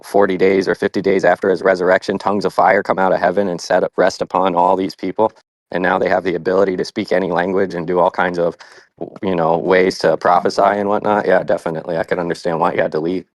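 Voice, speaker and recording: A man's voice: low-pitched, flat delivery, fast, dry recording, rough recording. A man in a casual group discussion, laid-back and calling others bro.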